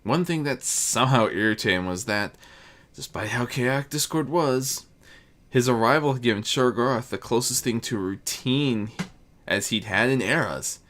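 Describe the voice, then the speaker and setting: A storyteller reading fiction aloud in an animated, swooping way, with occasional stumbles over the words. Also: mid-range voice, normal speed. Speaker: narrator. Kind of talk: scripted narration, fan fiction reading.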